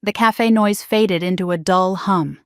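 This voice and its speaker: A woman narrates a scripted first-person story in a calm, even, measured way. Her voice sits at an ordinary pitch for a woman, and the recording is close and dry, with no room around it. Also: clean tone, no echo.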